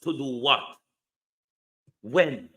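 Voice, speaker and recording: A man's voice: medium pitch, expressive, drawn-out words, bright, forceful tone, breathy edge. A man giving an emphatic political commentary monologue, full of repeated rhetorical questions. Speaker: online commentator.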